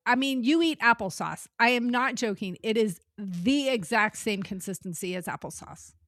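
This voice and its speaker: A woman's voice: fairly high pitch, animated, moderate pace, fairly bright tone, no room sound, clean studio sound. A woman co-hosting a podcast, chatting casually in a matter-of-fact way. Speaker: podcast co-host. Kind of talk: casual chat.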